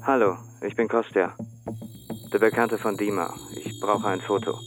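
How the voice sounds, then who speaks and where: A man as a low, curt hired killer. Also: steady tone, moderate pace, music in the background, close to an everyday voice. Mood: businesslike. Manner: curt, matter-of-fact. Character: businesslike male hired killer phoning with instructions. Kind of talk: radio drama dialogue.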